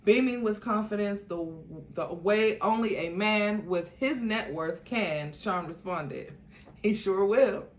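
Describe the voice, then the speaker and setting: A woman host reading a book aloud as theatrical narration, with expressive asides. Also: medium pitch, measured pace, hardly any pauses. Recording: echoey room, faint background noise.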